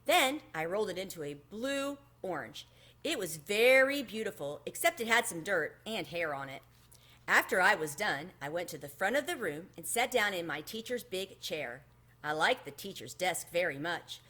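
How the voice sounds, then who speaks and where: A woman reading a children's book aloud expressively, in an animated storytelling manner. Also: medium pitch, moderate pace. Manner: animated, acts out the dialogue.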